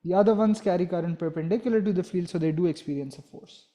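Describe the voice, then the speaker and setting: A man's voice: sits high, quick pace, warm tone. A young man giving a physics lesson, explaining step by step and often checking in with a "right?". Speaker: physics teacher.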